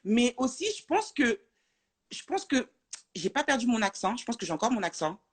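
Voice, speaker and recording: A woman's voice: a little high, very animated, moderate pace, slightly rough. A woman from France, talking as a guest in a casual live interview, hesitant and full of fillers and restarts.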